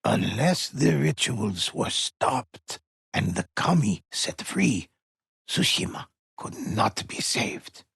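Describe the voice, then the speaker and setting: An old teller of dark legends for a video game. His voice is low and very husky, with a slow, deliberate delivery. He speaks gravely and solemnly, as dramatic scripted narration. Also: sing-song, dry recording, clean studio sound, full character voice. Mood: grave, ominous. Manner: slow, solemn, measured storytelling. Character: old male storyteller, grave and solemn, telling ghost legends.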